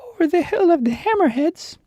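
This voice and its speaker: A man voicing a lion who is king of a forest, in a high, strained squeak far above his own voice. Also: big pitch swings, brisk, warm tone, no room sound, studio quality. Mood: wistful.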